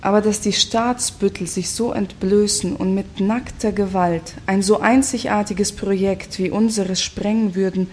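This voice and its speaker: An actress playing a bitter, reflective commune activist in a scornful, brooding radio play monologue. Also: female voice, medium pitch, fairly even intonation, a little slow, hardly any pauses, a little mellow, slight background noise, lightly acted.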